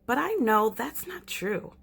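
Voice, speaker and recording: A woman's voice: fairly high pitch, sing-song, unhurried, vocal fry, a bit bright, slightly rough, voice pushed up, full character voice. Woman doing a chatty shrunken girl narrator, storybook read-aloud, slightly worn voice. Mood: storytelling. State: losing her voice. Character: chatty young girl who has shrunk.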